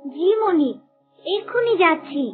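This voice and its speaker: Lady doing a super high cartoon horse, loyal n helpful, talks respectful, bit of music behind. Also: expressive, measured pace, narrow, tinny audio. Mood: eager, reassuring. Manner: respectful, reassuring. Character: loyal, helpful talking horse serving its master. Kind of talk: Bengali cartoon dubbing.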